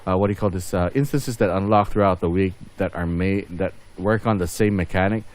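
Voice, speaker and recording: A man with a fairly low voice gives casual commentary over a video game. He hosts in an unscripted way, rambling a little and thinking aloud as he plays. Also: fairly quick. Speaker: gaming video host.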